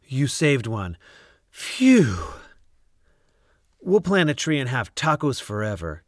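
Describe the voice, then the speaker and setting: A man reading a children's picture book aloud, expressive and theatrical, with dramatic drawn-out emphasis. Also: mid-range voice, no room sound, clean studio sound. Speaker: storybook reader.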